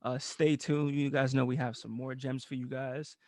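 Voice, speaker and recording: A man's voice: medium pitch, little pitch movement, normal speed, a little mellow, dry recording, clean studio sound. A man co-hosting a podcast, making short reactions and signing off the show in a laid-back way. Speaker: podcast co-host. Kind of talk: casual chat, closing the show.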